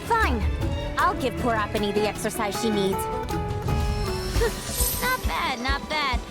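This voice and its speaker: A woman playing a brash, excitable, boastful teenage girl in a video game, with a sassy delivery. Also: a little high, slow. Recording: loud music behind the voice. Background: loud game music.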